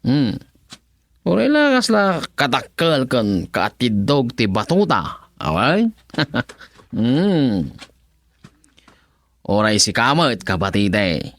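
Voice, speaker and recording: A man's voice: mid-range voice, no room sound, exaggerated voice. A man playing a simple, jolly bachelor gardener in an Ilocano comedy radio drama, with chuckling, humming, singsong comic talk. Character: simple, jolly bachelor gardener fussing over his plants.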